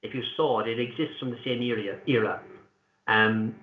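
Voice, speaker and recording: Irish male interview guest, earnest and measured, mid pitch, echoey phone-quality line. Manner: earnest, deliberate, pausing with fillers. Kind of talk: conversational interview answers. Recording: tinny echoey call line.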